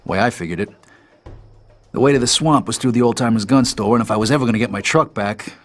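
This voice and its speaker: An actor playing a jaded American trucker and war veteran, narrating a hard-boiled crime game in a matter-of-fact, world-weary way. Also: male voice, mid-range voice, quick pace, soft background music, lightly acted. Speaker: narrator and player character.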